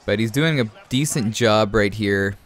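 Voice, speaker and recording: A man's voice: on the low side, a little slow. A young man from Canada with a cold, chatting casually while breaking down his gameplay, often calling his listeners man and guys. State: has a cold. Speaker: gaming commentator.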